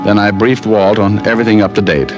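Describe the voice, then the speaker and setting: A man's voice: fairly low pitch, flat delivery, quick pace, loud music behind the voice, natural voice. An American man playing a wry, hard-boiled private detective who narrates his own case in an old radio drama. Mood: flippant. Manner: wry, sardonic, flippant. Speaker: lead actor and narrator. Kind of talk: 1940s radio detective acting, first-person narration.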